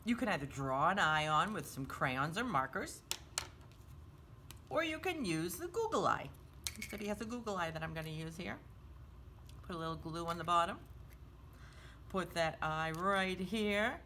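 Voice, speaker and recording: Animated woman leading a children's craft. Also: on the low side, moderate pace, pauses often, slight background noise. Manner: sing-song, simple child-directed explaining, often refers to self in third person. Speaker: children's storytime and craft host. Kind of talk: step-by-step craft instruction for young children.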